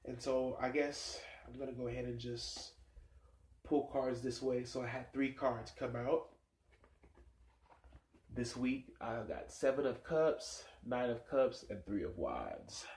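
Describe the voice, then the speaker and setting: A stuffed-up man reads tarot cards in a loose, rambling way, thinking aloud with plenty of fillers. His voice sits at an ordinary middle pitch. The room around him gives it a noticeable echo. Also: expressive, slow, a little mellow. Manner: musing, rambling, many fillers. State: stuffed-up nose. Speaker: tarot reader. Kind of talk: casual tarot reading vlog. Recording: echoey room sound.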